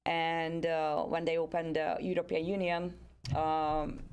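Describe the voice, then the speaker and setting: A woman speaks in a very low register with a gravelly edge. She tells her story earnestly and in order. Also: little pitch movement, measured pace, darkish tone. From Hungary. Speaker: guest. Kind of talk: interview answers.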